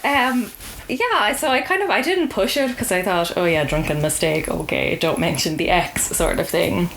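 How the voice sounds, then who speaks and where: Irish woman, lowish voice, chatty dry storytelling on a podcast, bit of noise behind her. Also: moderate pace, a bit bright. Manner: wry, understated, anecdotal. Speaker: podcast guest telling a personal story. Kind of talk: casual conversational storytelling.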